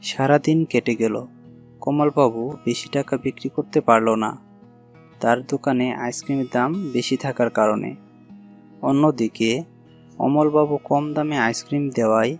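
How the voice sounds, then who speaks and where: A man reading scripted story narration for a cartoon. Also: mid-range voice.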